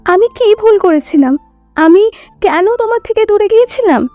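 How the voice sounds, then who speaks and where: A woman's voice: extremely high pitch, expressive, normal speed, mellow, dry recording, soft background music. An actress playing an anxious, confused young woman in an audio drama, uneasy and questioning. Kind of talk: audio story drama acting.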